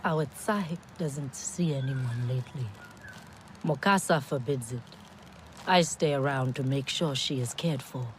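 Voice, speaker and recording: An actress playing a guarded but kind female caretaker who speaks calmly to a stranger. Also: a bass voice, unhurried, a little hiss or hum, low-quality recording. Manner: calm, guarded.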